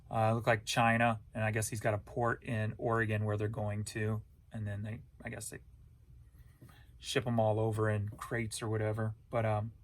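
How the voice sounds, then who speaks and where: An American man with a medium-pitched voice chats casually as a reseller vlogger. He rambles in an informal, folksy way, thinking out loud as he goes. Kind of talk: casual rambling update chat.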